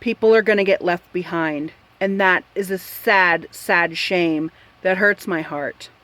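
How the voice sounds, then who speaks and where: A woman's voice: lowish voice, a little slow, fairly bright tone. A woman host giving opinion commentary in a blunt, exasperated and emphatic manner. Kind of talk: opinion commentary on a news article.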